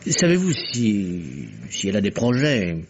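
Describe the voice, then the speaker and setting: An actor playing a bashful, lovestruck man, hesitant yet excitable, with halting theatrical delivery in a French radio drama. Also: on the low side, slight background noise, exaggerated voice. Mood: embarrassed, probing. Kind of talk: mid-century French radio drama acting. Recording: dull, muffled vintage radio recording.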